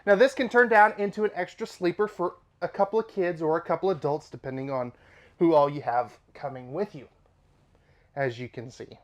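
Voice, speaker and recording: A man's voice: on the high side, moderate pace. A man presenting an RV dealership walkthrough from inside a travel trailer, upbeat and chatty with casual asides, his voice slightly nasal from allergies. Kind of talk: product walkthrough tour.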